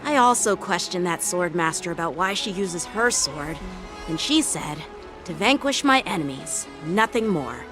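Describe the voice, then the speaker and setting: A woman's voice: lowish voice, expressive, unhurried, over music. A woman voicing a polite, earnest teenage boy swordmaster in an English video game, patient, courteous and instructive. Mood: calm, courteous.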